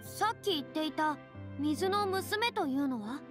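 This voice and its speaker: A woman's voice: high voice, animated, a little slow, over music. A woman playing a self-assured, theatrical young former stage star in a Japanese anime game, with lofty, boyish phrasing. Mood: musing, lofty.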